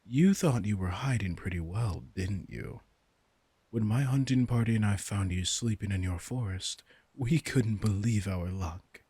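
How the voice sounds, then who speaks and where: A man's voice: on the low side, measured pace, mellow, breathy edge, dry recording. A man playing a commanding, teasing vampire king in a roleplay audio drama, smug and casually menacing as he speaks straight to the listener. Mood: amused, explaining.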